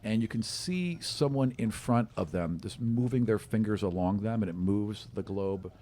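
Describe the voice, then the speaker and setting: A man with a fairly low voice runs a tabletop fantasy game. He narrates scenes in a conversational, descriptive way, improvising and feeling around for the right word. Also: normal speed, warm tone. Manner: conversational, descriptive, searching for words. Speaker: game master.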